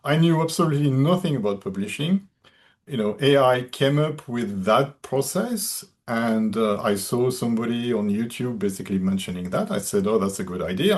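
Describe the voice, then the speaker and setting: A man whose first language is French, explaining his workflow in a casual online discussion, thoughtful and step by step. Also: mid-range voice. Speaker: webinar presenter.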